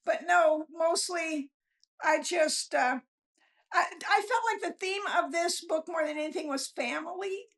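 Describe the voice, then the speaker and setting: Female author guest, very high pitch, unpolished, self-deprecating, conversational storyteller. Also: a little slow, fairly bright tone. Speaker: author being interviewed, guest. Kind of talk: casual, rambling storytelling chat.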